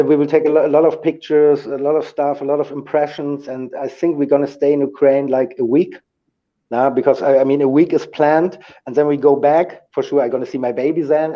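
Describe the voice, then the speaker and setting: Halting male guest on a dull call line. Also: mid-range voice. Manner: halting, repeats words and restarts often. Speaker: guest, aid organizer. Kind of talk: interview answers.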